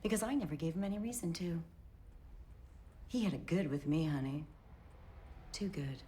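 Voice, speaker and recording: An actress playing a brassy, hard-nosed 1920s wife with a blunt, slangy way of talking. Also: female voice, low-pitched, expressive, normal speed, warm tone, a little hiss or hum. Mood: defiant.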